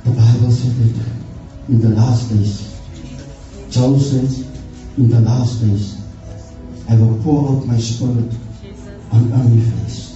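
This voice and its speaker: A man's voice: mid-range voice, fairly even intonation, slow pace, long pauses, dark tone, faint music behind. A middle-aged man, a church elder, giving an earnest sermon with frequent amens, recorded from far off in a hall. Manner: earnest exhortation with frequent "amen" interjections. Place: stage in a church hall, indoors. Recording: muffled, echoey hall, distant from camera.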